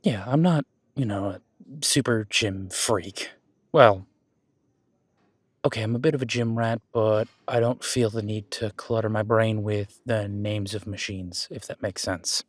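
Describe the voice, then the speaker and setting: A man's voice: fairly low pitch, steady tone, a little slow, dry recording. A man playing a friendly, easygoing werewolf boyfriend in a conversational audio roleplay, reassuring and rambling as he corrects himself. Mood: casual, instructive. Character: friendly male werewolf gym-goer, easygoing supportive boyfriend. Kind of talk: conversational one-sided roleplay acting.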